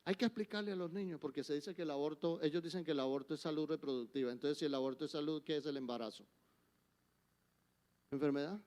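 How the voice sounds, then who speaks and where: An older man with a Colombian accent preaching a sermon in church, emphatic, swinging between forceful exhortation and soft, intimate asides. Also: a little high, quick pace. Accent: Colombian Spanish. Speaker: guest preacher.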